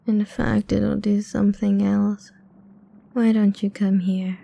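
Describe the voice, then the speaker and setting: A woman playing a seductive, domineering ancient vampire queen in an intimate ASMR roleplay, mostly in a breathy, husky whisper, slow, teasing and commanding. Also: medium pitch, flat delivery, warm tone, full character voice.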